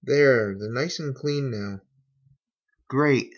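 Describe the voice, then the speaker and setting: A man giving a casual how-to narration in an unhurried, folksy way, talking through each step as a hobbyist. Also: mid-range voice. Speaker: hobbyist demonstrating a repair. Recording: dull, lo-fi home recording.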